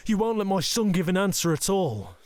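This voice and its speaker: A man's voice: sits high, very animated, darkish tone, no room sound. A man playing a cruel, domineering middle-aged Yakuza boss and father in an audio drama, taunting and threatening. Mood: scornful fury. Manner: taunting, threatening, slow deliberate delivery. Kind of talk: ASMR roleplay audio drama acting.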